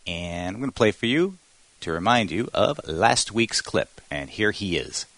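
A man with a low voice presents a segment on a podcast. He talks conversationally and a little wordily, with a playful announcer streak. The sound is dry and close. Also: big pitch swings, measured pace, fairly bright tone, no room sound.

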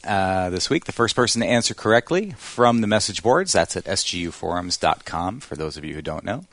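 A man with a low voice presents a segment on a podcast. He talks conversationally and a little wordily, with a playful announcer streak. The sound is dry and close. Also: big pitch swings, a little fast, a bit bright, dry recording.

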